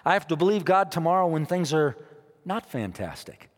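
Male pastor, emphatic repeating sermon delivery, slightly high voice, long hall reverb. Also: big pitch swings, normal speed. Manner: emphatic, repeats phrases, rises and drops in loudness.

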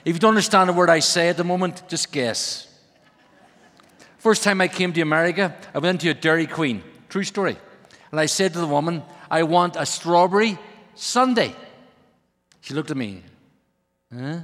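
A middle-aged man with an Irish accent preaches with a high, bright, ringing voice. He jokes and then rises to emphatic calls. His words echo with the long reverb of a big church hall. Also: normal speed, pauses often. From Ireland. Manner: joking, then rousing and emphatic. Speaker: guest preacher, missionary. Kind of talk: humorous sermon. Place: large church sanctuary.

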